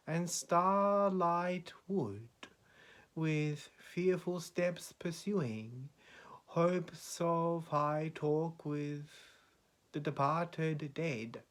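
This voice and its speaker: A man reciting poetry in a solemn, measured, dramatic way, with deliberate pauses between phrases. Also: a little high. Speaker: poetry reader.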